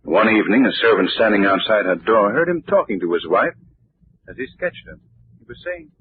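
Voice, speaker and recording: An actor playing an urbane, knowledgeable young gentleman, cultured and reflective, in 1940s radio drama. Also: on the low side, animated, brisk, slight background noise, lightly acted. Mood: wistful, explaining. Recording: muffled, tinny 1940s radio recording.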